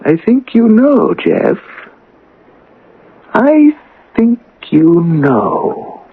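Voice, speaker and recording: An actor playing an insinuating, folksy, coolly scheming townsman in a 1980s radio drama, with a raspy, creaky voice. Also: high-pitched, wildly swooping pitch, drawn-out words, background noise, exaggerated voice. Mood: sly, pressing. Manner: folksy, leading, persuasive. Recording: dull lo-fi radio recording.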